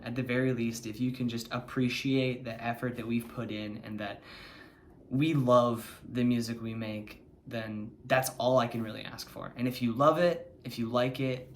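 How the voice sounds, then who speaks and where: A man's voice: medium pitch, a little hiss or hum. A man in his twenties, a band member, speaking in an earnest, heartfelt way in an interview. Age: 20s. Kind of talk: documentary interview.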